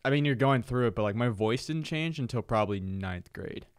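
A young man co-hosting a podcast in casual chat, making joking deadpan asides, close to a studio mic. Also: medium pitch, fairly quick, clean tone, dry recording. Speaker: podcast co-host. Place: room with couch, indoors. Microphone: studio mic close up, headphones.

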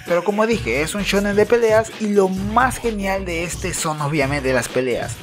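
Informal enthusiastic reviewer over music. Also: medium pitch. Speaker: host of a manga recommendation video.

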